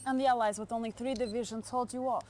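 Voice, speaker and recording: Lady acting as a soviet spy officer, highish voice, cool, critical, sharp-tongued, game cutscene w some noise. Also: steady tone, fast, natural voice. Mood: cool, disdainful. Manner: probing, coolly critical. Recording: noisy game cutscene audio.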